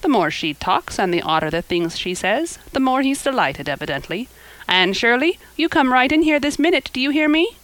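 A woman voicing a stern, practical older woman in a storybook, speaking in a brisk, scolding, matter-of-fact manner. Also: fairly low pitch, sing-song, fairly bright tone, no echo, low-quality recording. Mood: exasperated. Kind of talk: audiobook character acting.

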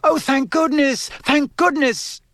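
A man's voice: very high-pitched, steady tone, takes it slowly, a bit bright, no room sound, clean studio sound, voice pushed up, heavily acted. A man voicing an anxious, fussing middle-aged mother in a storybook reading, with a distraught manner. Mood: tearful relief.

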